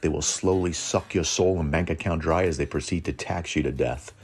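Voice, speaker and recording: A man's voice: very deep voice, a little fast, darkish tone, breathy edge. A man narrating a scripted lore show as host, with dry joking asides.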